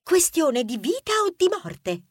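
Lovestruck young mouse conductor, children's audiobook. Very high, slow, swooning delivery. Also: female voice, big pitch swings, dry recording, clean studio sound. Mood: cheerful, eager. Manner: effusive, flustered, swooning, repetitive apologies. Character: gushing, lovestruck young female rodent train conductor. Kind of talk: children's audiobook character acting.

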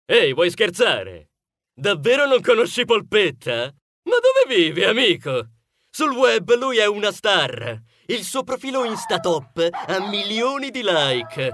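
A man's voice: high voice, sing-song, slow, fairly bright tone, no echo, voice pushed up, exaggerated voice. A man voicing a smug, brash male rodent neighbour in a storybook, boastful and condescending, with cutesy turns of phrase. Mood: mocking, incredulous.

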